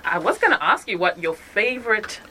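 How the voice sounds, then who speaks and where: A woman's voice: mid-range voice, sing-song, fairly quick, background noise. A woman from Uganda hosting a podcast, asking questions and giving attentive, encouraging replies. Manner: attentive, encouraging, frequent backchannel. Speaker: podcast host. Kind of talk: casual interview chat.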